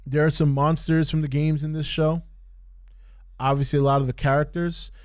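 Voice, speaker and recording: A man hosting a podcast, giving casual unscripted review commentary in a halting way, repeating phrases for emphasis. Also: a little high, level tone, darkish tone, clear, resonant tone, no echo, clean studio sound. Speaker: podcast host reviewing TV shows.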